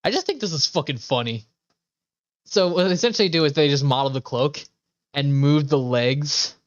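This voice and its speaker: A man's voice: fairly high pitch, normal speed, no echo. A man giving casual live game commentary as a streamer, rambling and sweary with enthusiastic asides. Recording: slightly dull, dry microphone.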